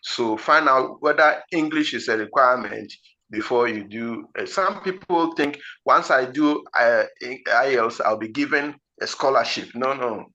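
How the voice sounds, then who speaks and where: Ghanaian male tutor, deliberate word-by-word delivery, bright tone, muffled low-fi recording. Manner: slow, deliberate, word-by-word delivery with frequent fillers. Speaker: IELTS teacher. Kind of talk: explanatory teaching talk.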